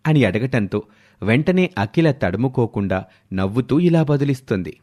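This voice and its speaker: Animated male storyteller with swooping intonation. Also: mid-range voice, normal speed. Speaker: storyteller, narrator. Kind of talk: scripted moral-story narration.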